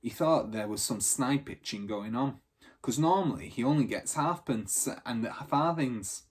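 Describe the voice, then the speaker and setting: A man plays an earnest young street lad in a children's adventure story. He sounds practical and matter-of-fact, chatty in his wording, with a husky tone. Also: mid-range voice, expressive, unhurried. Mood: earnest reporting.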